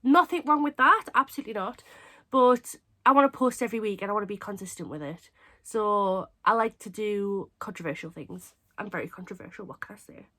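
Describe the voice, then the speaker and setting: A young woman from England with a cold, sounding hoarse and stuffy, chatting casually and rambling to camera as a craft channel host. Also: medium pitch, brisk, sharp tone. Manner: chatty, rambling, self-mocking.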